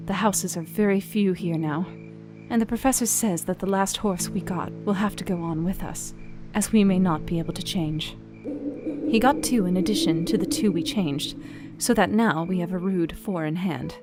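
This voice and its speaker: A woman's voice: fairly low pitch, close to an everyday voice. An actress playing a devout, troubled young Victorian wife, reading her journal in an earnest, reflective, formal way for a radio play. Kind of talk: dramatic audio journal reading.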